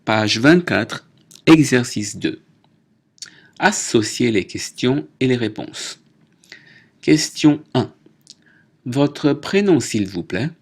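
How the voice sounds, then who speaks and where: A man teaching a French lesson, reading out names, nationalities and exercises slowly and deliberately. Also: mid-range voice, lively intonation. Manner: slow, deliberate dictation. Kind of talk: slow lesson narration.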